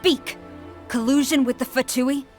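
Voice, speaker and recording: A woman's voice: high-pitched, steady tone, a little slow, a little mellow, faint music behind. A woman playing a stern, dutiful military commander in a video game, formal and authoritative. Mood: angry, commanding. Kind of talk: scripted video game voice acting.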